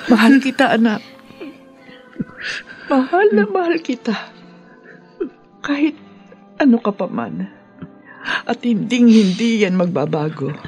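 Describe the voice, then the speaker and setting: A woman's voice: mid-range voice, darkish tone, close to an everyday voice. An older woman acting as a loving, sentimental elderly mother and grandmother in an emotional radio drama. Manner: effusive, sentimental.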